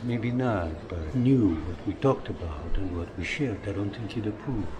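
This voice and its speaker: A man's voice: low-pitched, moderate pace, background noise, close to an everyday voice. An actor playing a wounded, jealous older man in love in a film drama, earnest and pressing as he talks. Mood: probing, suspicious. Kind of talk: naturalistic film drama dialogue.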